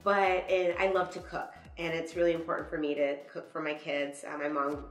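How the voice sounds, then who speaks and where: Woman in her 30s, low pitch, chatty interview guest, heard from a distance in an echoey room. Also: level tone, normal speed. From Dallas, USA. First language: Spanish. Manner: chatty, laughs often, trails off mid-sentence. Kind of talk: casual interview chat. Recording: echoey, from far off, faint music.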